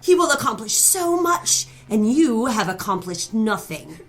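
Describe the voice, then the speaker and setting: A woman's voice: on the high side, big pitch swings, unhurried. An actress playing a cruel, haughty middle-aged mother in a noir audio drama, scolding and condescending. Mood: furious, disdainful. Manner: imperious, scolding, condescending endearments. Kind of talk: noir radio drama acting.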